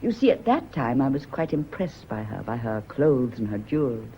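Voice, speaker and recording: A woman's voice: rumbling low, big pitch swings, moderate pace, heavily acted. An anxious, haunted British woman, muffled recording. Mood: reminiscing. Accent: British English. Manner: nervous, hesitant, brooding and dramatic. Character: anxious, guilt-haunted woman, former live-in servant or companion. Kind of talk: British stage-style radio drama acting. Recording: dull, hissy old radio recording.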